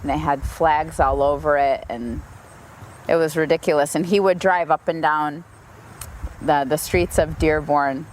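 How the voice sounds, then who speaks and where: American woman, real low voice, telling her story straight and lively. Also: measured pace, slight background noise. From United States. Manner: frank, animated storyteller. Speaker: interviewee. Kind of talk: interview, personal account.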